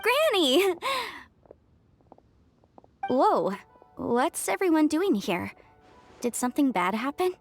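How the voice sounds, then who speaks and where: A woman playing an excitable, affectionate, inquisitive young woman in a video game, perky and expressive. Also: high voice, slow pace, long pauses, faint music behind, full character voice. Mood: alarmed.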